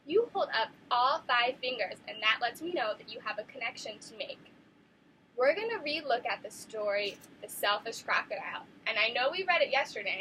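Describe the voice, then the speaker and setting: A young woman teacher reads a picture book to her class. She sounds bright and high, with lively swoops in her voice. She keeps an encouraging, animated tone as she questions the children. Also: slight background noise, low-quality recording. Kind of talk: classroom read-aloud lesson.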